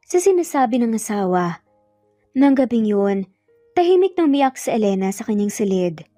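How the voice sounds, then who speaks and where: A woman's voice: on the high side, mellow, no echo, clean studio sound. A woman with a Filipino accent reading a scripted dramatic story as a storyteller. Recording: dull lo-fi audio, music behind. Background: background music.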